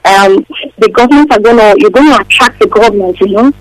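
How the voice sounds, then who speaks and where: A woman from Nigeria calling into a radio show, talking in halting bursts with many fillers over a phone line. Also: mid-range voice, dry recording. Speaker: radio call-in caller. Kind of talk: phone-in chat.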